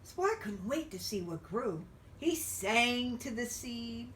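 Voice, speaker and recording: Slow, animated woman reading a children's story. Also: a little high, recorded from a distance, a little hiss or hum. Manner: expressive, drawn-out emphasis for young listeners. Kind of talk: read-aloud picture book narration.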